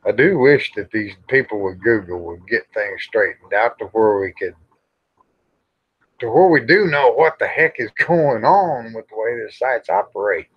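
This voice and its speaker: A man rambles casually to livestream viewers in a folksy, grumbling way, pausing often. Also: on the low side, animated, husky, no echo. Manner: folksy, grumbling, drawn-out with pauses. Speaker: livestream host. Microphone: small microphone near the face. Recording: dull, muffled livestream audio.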